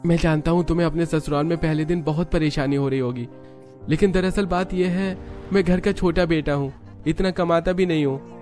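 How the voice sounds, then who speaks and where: A man's voice: fairly high pitch, fairly even intonation, brisk, a little mellow, soft background music, voice pushed up, lightly acted. A man as an earnest, lighter-voiced young husband. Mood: apologetic, sincere. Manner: apologetic, earnest. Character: earnest, hard-up young husband, apologetic and worried. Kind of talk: dramatized Hindi moral story cartoon.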